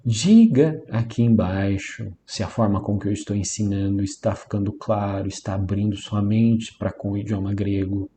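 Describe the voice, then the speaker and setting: Mellow-voiced Brazilian male language teacher. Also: fairly low pitch, steady tone, moderate pace, few pauses, a little hiss or hum. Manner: didactic, repeats and emphasizes key points. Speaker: Biblical Greek teacher. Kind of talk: informal instructional lecture.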